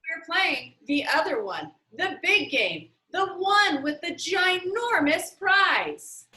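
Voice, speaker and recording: Lady doing a know-it-all kid girl from a storybook, emphatic, bright, echoey room. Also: very high-pitched, very animated, slow, exaggerated voice. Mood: impatient. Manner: emphatic, impatient. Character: impatient, blunt, know-it-all girl on a game team. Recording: roomy, echoey sound.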